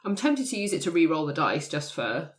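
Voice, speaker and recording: A woman's voice: low-pitched, sing-song, fairly quick. A woman playing a tabletop game, thinking aloud in casual, tentative chat.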